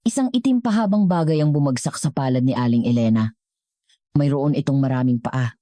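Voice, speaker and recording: Female narrator, Filipino accent, dark and very low, dry close sound, scripted drama. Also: brisk, no room sound. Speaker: story narrator.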